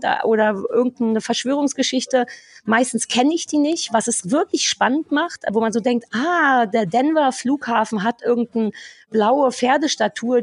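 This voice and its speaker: A woman co-hosting a podcast, talking casually in an animated, blunt ranting manner with some swearing. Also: on the high side, creaky, breathy edge, no echo. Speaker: podcast co-host. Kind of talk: casual review chat.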